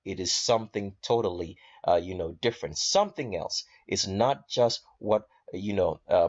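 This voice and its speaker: A man presenting an informal explanatory talk, emphatic, drawing out and repeating key words. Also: low-pitched. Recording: dull, lo-fi audio.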